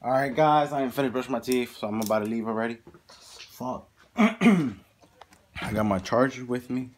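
Young male vlogger, mid-pitch, rambling and mumbling with curses, phone at arm's length, noisy recording. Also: lively intonation, moderate pace. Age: young adult. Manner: rambling, mumbled asides, frequent cursing. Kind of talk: casual handheld vlog. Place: house indoors, then outdoors in a neighborhood. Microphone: phone held at arm's length.

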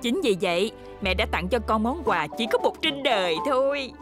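A woman's voice: fairly high pitch, expressive, moderate pace, music in the background, natural voice. A woman voicing a wry, exasperated mother of a young girl in a children's cartoon dub. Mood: wry, coaxing.

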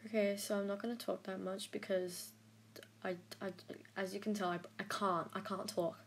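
A young woman talks through an art-app editing tutorial at her own pace. She hesitates, trails off and fills gaps with "um" and "like". Her voice sits at an ordinary middle pitch. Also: level tone, normal speed, slight background noise. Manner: rambling, self-deprecating, hesitant with many fillers. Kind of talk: casual screen-recorded tutorial.

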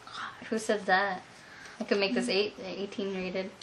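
A woman's voice: mid-range voice, level tone, a little slow. A young woman host chatting and teasing casually in a small room at home, picked up by a camera mic. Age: young adult. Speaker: vlog host. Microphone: camera mic at about arm's length. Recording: noisy home camera recording.

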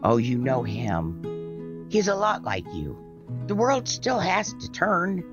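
A woman playing a gentle, affectionate elderly farm grandmother in an audio drama. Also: fairly low pitch, huge pitch swings, takes it slowly, exaggerated voice. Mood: gently weary. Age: older. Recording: muffled audio under guitar music.

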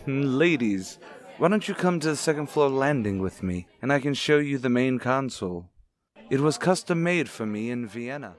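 A man's voice: medium pitch, animated, normal speed, close to an everyday voice. A man playing a courtly, cultured rich widower, formal and suave, in an old-time radio drama. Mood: rapturous, genial.

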